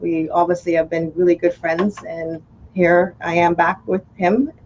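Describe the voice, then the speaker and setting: Lower-voiced woman guest on radio. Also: flat delivery, a little slow, a little mellow, lo-fi sound, slight background noise. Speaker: business solutions manager. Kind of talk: casual radio interview. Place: small radio studio. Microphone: studio mic on boom arm.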